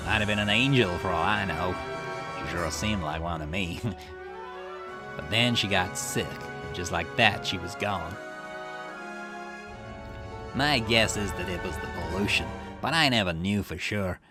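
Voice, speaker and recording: A man's voice: deep voice, measured pace, pauses often, over music. A man reading game dialogue aloud, voicing a bitter, obsessive grieving man who snarls defensively and builds to outbursts. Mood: brooding.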